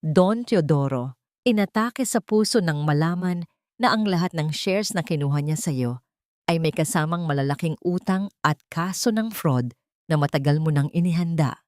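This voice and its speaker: A woman's voice: low-pitched, lively intonation, moderate pace, darkish tone, dry recording, clean studio sound. A woman voicing a frightened, once-haughty woman who begs urgently in a dramatic story. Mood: panicked. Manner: urgent, panicked.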